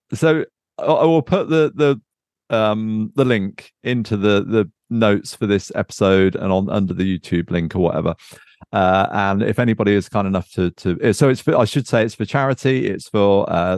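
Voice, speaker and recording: Low-voiced man chatting casually. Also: moderate pace, a little mellow, no echo, studio quality. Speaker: podcast co-host. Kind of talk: casual unscripted chat.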